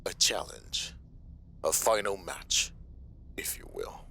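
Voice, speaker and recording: Casting: menacing crime villain for audio roleplay, gravelly fry, theatrical mocking politeness, faint noise underneath. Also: male voice, deep voice, big pitch swings, pushed and bright, heavily acted. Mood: smug challenge. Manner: theatrical, slow menacing taunts with polite mockery. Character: menacing, gloating male mobster villain, taunting his brother.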